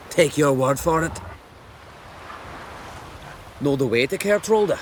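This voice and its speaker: A man's voice: fairly high pitch, expressive, takes it slowly, slight background noise. A man voicing a crude, gossipy island villager in a fantasy video game, talking in a blunt, folksy way. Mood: gossipy, wry.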